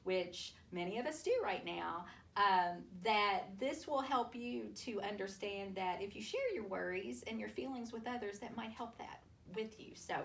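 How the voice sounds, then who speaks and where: A woman reading a children's storybook aloud to families, with expressive, deliberate delivery and drawn-out emphasis. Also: mid-range voice, gravelly. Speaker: school superintendent reading a picture book aloud to families. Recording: roomy, distant mic with faint noise.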